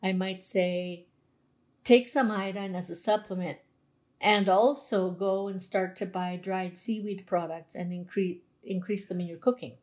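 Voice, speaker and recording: A woman's voice: mid-range voice, steady tone, measured pace, a little mellow. Canadian lady naturopath chatting, explains stuff with everyday examples. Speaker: naturopathic doctor guest. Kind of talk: explanatory interview talk.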